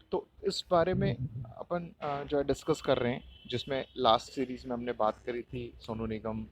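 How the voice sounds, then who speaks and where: A man's voice: medium pitch, rough recording. An Indian man hosting a casual solo podcast, explaining things in a hesitant way and often repeating his points. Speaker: podcast host.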